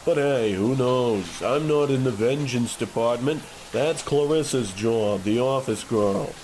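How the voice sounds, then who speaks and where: A man playing a menacing, matter-of-fact mobster who delivers deadpan threats in a comic radio play. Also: medium pitch, measured pace, slight background noise, natural voice. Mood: coolly threatening. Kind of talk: old-time radio play parody.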